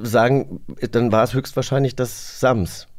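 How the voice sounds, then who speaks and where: A man's voice: medium pitch, steady tone, a little slow. A man hosting a podcast in a casual, conversational style. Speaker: podcast host. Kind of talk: casual chat.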